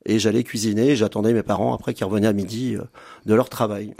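Fifties guy, chef, kinda gravelly low voice, lots of euh and bah, halting. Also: fairly even intonation, dark tone. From France. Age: 50s. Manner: hesitant, many fillers and restarts. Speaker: restaurant owner and chef, guest. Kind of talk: casual radio interview.

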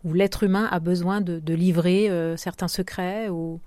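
A woman interviewing an author on the radio, asking brisk, leading questions. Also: lowish voice, fairly even intonation, darkish tone, no room sound.

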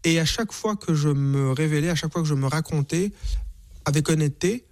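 A man's voice: on the high side, brisk, a little mellow. A man in his forties from Rwanda, a singer and author, answering in a reflective radio interview, often hesitating and repeating words.

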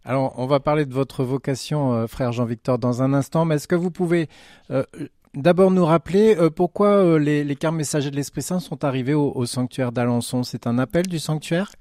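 A man's voice: a little high, a little fast, mellow, no echo, studio quality. A man hosting an interview and asking questions in a friendly, conversational way. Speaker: radio interviewer.

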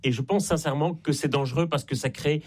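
A man with a Belgian French accent, a guest author on a radio interview, explaining in a measured way and stressing key points. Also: on the high side, fairly even intonation, a little mellow.

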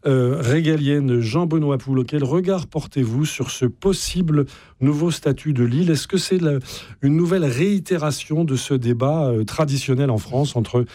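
A man's voice: on the high side, normal speed, dark tone, dry recording. A man hosting a live radio debate, asking probing questions and rephrasing what is said. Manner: probing, rephrases guests' points.

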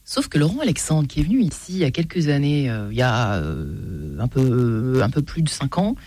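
A man's voice: mid-range voice, very animated, moderate pace, dark tone, dry recording. A man hosting a French talk show, asking playful questions of his guests. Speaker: host.

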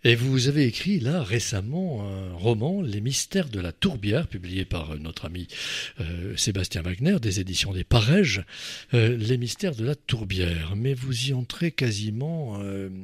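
A man hosting a radio interview, asking questions with frequent quick agreements and teasing asides. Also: lowish voice, sing-song, husky. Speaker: radio host.

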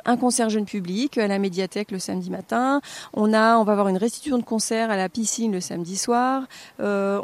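A woman directing a choral festival, explaining the program in an interview with many pauses and fillers. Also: medium pitch, darkish tone, dry recording. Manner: hesitant, many fillers and restarts. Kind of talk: radio interview.

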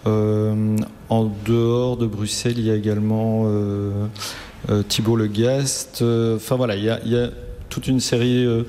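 A man speaks in a mellow, soft-toned voice, pausing often with fillers as he works through his answers. He is a chocolatier guest chatting casually in an interview. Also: fairly low pitch, flat delivery, a little hiss or hum. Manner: hesitant, many fillers.

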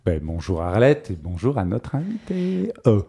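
A man's voice: fairly low pitch, sing-song, normal speed, vocal fry, warm tone, breathy edge. A man co-hosting a radio interview in a studio, making light, joking interjections. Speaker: radio co-host and technician.

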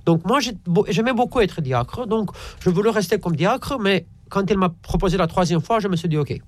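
A man from Romania, an Orthodox priest answering interview questions haltingly and repeating words as he searches. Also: sits high, animated.

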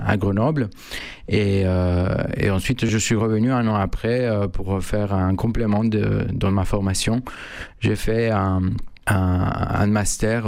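Man, flat gravelly voice, halting answers, dry sound. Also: fairly low pitch, no room sound. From Mexico. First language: Spanish. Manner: halting, many fillers and restarts. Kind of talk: hesitant interview answers.

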